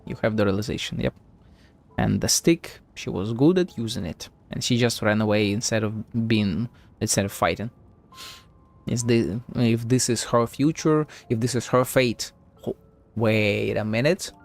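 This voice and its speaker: A man's voice: fairly low pitch, moderate pace, vocal fry. A man giving casual live gameplay commentary, sceptical and profane as he thinks aloud. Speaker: game streamer. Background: game music.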